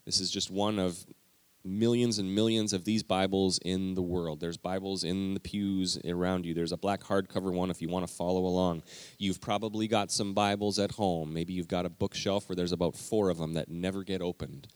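A man preaching a conversational teaching sermon in a church, talking directly to the congregation with lively emphasis. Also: low-pitched, moderate pace, clear, resonant tone, no echo. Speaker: pastor. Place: church sanctuary.

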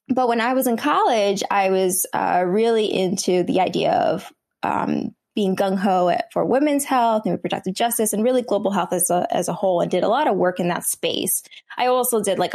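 Slightly hoarse New Jersey woman. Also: medium pitch, a little mellow. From New Jersey, USA. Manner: conversational, many fillers and restarts. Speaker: guest, medical student. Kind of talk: podcast interview.

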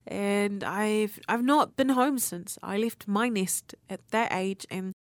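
A 19-year-old woman hosts a student radio show on her own. She talks in a candid, conversational way and drops casual swears. Her voice sits at an ordinary middle pitch, and the recording is dry, with no room echo. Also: slow pace. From New Zealand. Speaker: radio host. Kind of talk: personal monologue for student radio.

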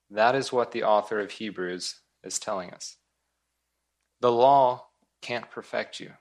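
A man preaching as a pastor, reading and explaining scripture in a deliberate way, pausing for emphasis and repeating key words. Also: on the low side. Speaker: pastor teaching a Bible study. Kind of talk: expository sermon with scripture reading.

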